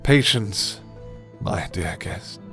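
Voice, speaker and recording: A man voicing a suave, menacing, condescending vampire lord in an ASMR roleplay, courtly and theatrical, with deliberate delivery. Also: deep voice, lively intonation, creaky, fairly bright tone, exaggerated voice. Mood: brooding, sorrowful. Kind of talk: ASMR roleplay character acting. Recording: music behind the voice.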